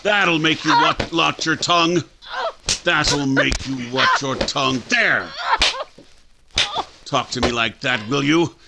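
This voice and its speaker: Harsh, high-pitched domineering father played by a man. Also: slow pace, long pauses, very noisy. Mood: furious, bullying. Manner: gruff, bullying commands. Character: harsh, tyrannical middle-aged father, domineering and cruel. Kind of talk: 1940s radio drama acting.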